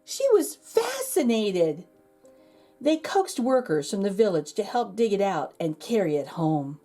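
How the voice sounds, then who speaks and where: A woman reading a children's picture book aloud as a storyteller, expressive, with dramatic emphasis and pauses. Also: medium pitch, faint music behind. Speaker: read-aloud host and storyteller.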